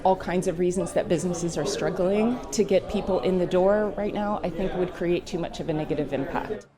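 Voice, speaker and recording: A woman's voice: lowish voice, a little fast. A woman giving spontaneous interview remarks as a local resident. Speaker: local business owner interviewee. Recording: busy crowd chatter behind.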